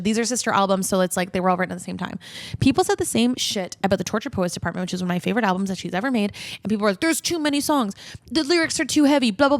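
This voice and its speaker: A woman's voice: on the high side, no echo, clean studio sound. A woman co-hosting a podcast, chatting casually in an emphatic, opinionated and slangy way. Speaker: podcast host.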